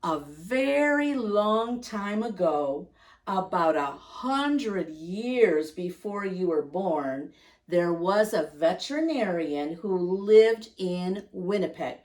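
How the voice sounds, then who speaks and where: A woman hosting storytime for young children, reading aloud and speaking directly to them with gentle questions and simple explanations. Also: lowish voice, animated, slow pace, recorded from a distance. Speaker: children's storytime host and reader.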